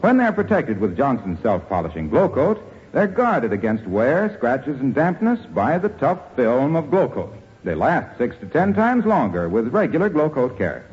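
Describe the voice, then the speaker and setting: A man's voice: mid-range voice, huge pitch swings, normal speed, breathy edge. A man working as a 1940s radio announcer, delivering polished, emphatic sales copy. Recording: dull 1940s radio recording, faint hiss.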